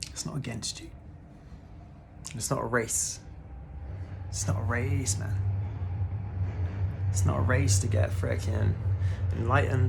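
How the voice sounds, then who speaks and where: A man's voice: medium pitch, vocal fry, background noise. A man in his thirties giving a casual, laid-back spiritual talk to the camera, often calling the listener "man" and swearing now and then. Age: 30s. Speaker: spiritual vlogger.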